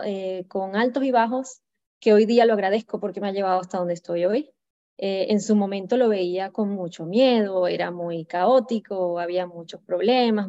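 A woman's voice: medium pitch, mellow, highs cut off. A Venezuelan woman in her thirties, a podcast guest telling her personal story in a reflective way over a remote call. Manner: reflective, many fillers and restarts.

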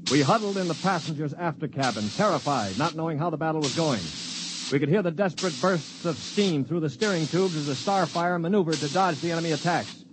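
An actor in a 1950s radio drama narrating as a cynical former space pilot, terse and matter-of-fact. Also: male voice, fairly high pitch, a little fast, natural voice. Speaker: narrator and lead character. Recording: hissy vintage radio recording.

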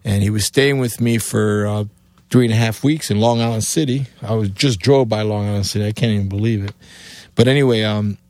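A man's voice: on the low side, fairly even intonation, a little fast, a little mellow, no room sound. A middle-aged man from Cuba with a Cuban accent, telling rambling stories as an interview guest and acting out what people said. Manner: rambling, acts out quoted dialogue. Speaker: guest musician. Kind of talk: casual storytelling interview.

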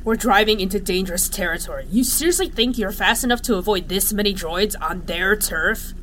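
A woman's voice: mid-range voice, a little fast, a bit bright, lightly acted. A woman playing a wary, exasperated crewmate of a reckless driver in a cyberpunk audio drama. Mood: alarmed. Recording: noisy vehicle sound effects behind.

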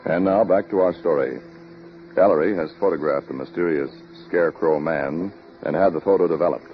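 A man working as an old-time radio announcer, delivering sponsor pitches and story links. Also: fairly quick, faint music behind. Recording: dull old radio recording.

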